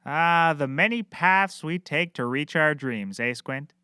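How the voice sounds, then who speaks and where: A man's voice: a little high, lively intonation, measured pace, bright, forceful tone, clear, resonant tone, no echo, studio quality. A man playing a cheerfully clueless, rambling private eye who cracks corny jokes in a scripted comedy. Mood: chipper, jokey. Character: bumbling, chirpy, oblivious male puppet-world private eye.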